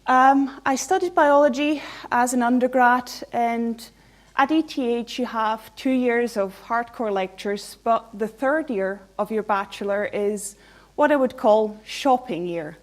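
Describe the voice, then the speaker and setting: A woman's voice: fairly high pitch, a little slow. Swiss woman giving a candid conference talk. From Switzerland. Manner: candid and conversational, with dry humor. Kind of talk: informal conference presentation.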